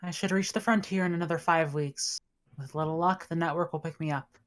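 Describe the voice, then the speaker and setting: A woman acts out a composed female spaceship officer, the lone survivor in a sci-fi drama. Her voice is low. She dictates her log in a measured, formal manner. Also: fairly even intonation, lightly acted. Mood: calm, composed.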